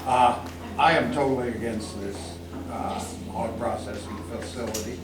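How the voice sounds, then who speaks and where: Blunt, halting man at a distant mic. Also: mid-range voice. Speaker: resident, former county supervisor, speaking at public comment. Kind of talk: unscripted public remarks. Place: public meeting room. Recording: distant mic, echoey noisy room.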